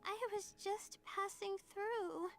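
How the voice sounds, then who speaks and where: A woman's voice: very high-pitched, fairly even intonation, takes it slowly, soft background music, exaggerated voice. A woman voicing an anxious, timid young female student in an anime-style game, speaking in a hesitant, apologetic, bewildered way. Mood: puzzled, apologetic.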